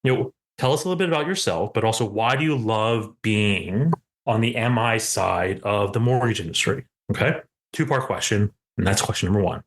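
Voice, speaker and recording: Low-voiced man hosting a podcast. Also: fairly quick. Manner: rambling, repeats "right?", joking. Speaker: podcast host. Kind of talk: conversational podcast interview.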